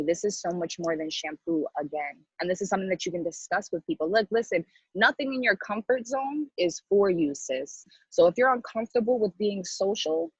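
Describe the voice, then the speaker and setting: A woman's voice: on the low side, slightly rough, dry recording. A woman coaching a sales team in a casual, motivational style, blunt and slangy, emphatically repeating her key phrases, on a dull lo-fi recording. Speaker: sales team coach leading a training call. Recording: dull lo-fi sound.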